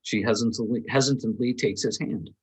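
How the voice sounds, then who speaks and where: Male narrator for script directions, medium pitch, a little rasp, reading aloud. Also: brisk, low-quality recording. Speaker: reader of stage directions at a table read.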